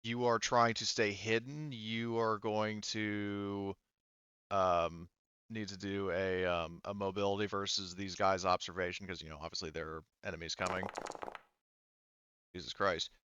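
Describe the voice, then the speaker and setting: A man running a tabletop game as game master, talking casually and haltingly as he thinks aloud. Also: on the low side, flat delivery, fairly bright tone, smooth, no room sound, dull audio.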